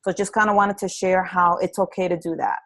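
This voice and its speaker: A woman's voice: fairly low pitch, level tone, fairly quick, a bit bright, a little hiss or hum. A woman leading a live conference-call training for new fitness business coaches, talking earnestly and encouragingly with frequent ums and restarts.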